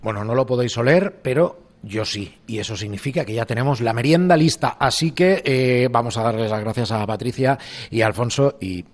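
A man's voice: medium pitch, fairly quick. A man with a Castilian Spanish accent hosting a radio show, chatting informally as he interviews guests in a colloquial way. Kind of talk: conversational tech interview.

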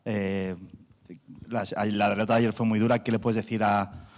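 A man's voice: lowish voice, level tone, a little mellow. Distant male journalist asking a question. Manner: halting, rephrasing as he asks. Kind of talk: press conference question.

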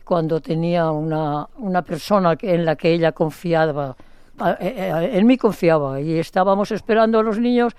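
A woman's voice: fairly low pitch, moderate pace, a little mellow, clear, resonant tone, no room sound. An older woman from Spain giving a chatty, playful interview, rambling and restarting her sentences often. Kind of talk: casual phone interview.

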